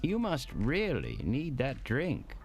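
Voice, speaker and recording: Female hotel manager, audio drama: officious, courteous, very animated pitch, faint music underneath. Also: slow pace, exaggerated voice. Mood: formal, aloof. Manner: formal, prim, slightly condescending.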